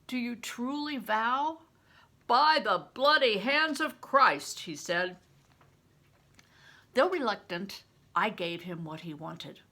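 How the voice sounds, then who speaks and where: An older woman narrates a storybook at a slow, careful pace. Her voice is bright and clearly projected, with lively rises and falls. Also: on the low side. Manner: deliberate, careful, emphatic reading. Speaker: storybook reader, grandmother. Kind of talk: expressive read-aloud narration with questions to listeners.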